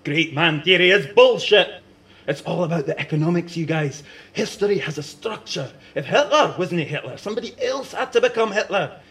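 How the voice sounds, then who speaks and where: A man voicing an excitable, smug young woman who lectures loudly. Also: high voice, moderate pace, vocal fry, voice pushed up, exaggerated voice. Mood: excited.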